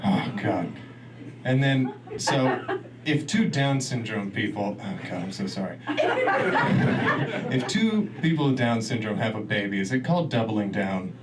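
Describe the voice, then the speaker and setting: A man's voice: fairly low pitch, expressive, measured pace. A man doing a stand-up comedy set in a club, rambling and laughing at his own jokes. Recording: distant mic, noisy club room.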